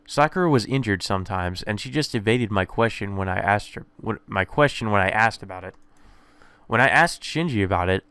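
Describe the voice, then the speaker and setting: Clear-voiced man narrating a visual novel. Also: lowish voice, a bit bright, no room sound. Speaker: let's play host. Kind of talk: reading visual novel narration aloud with casual asides.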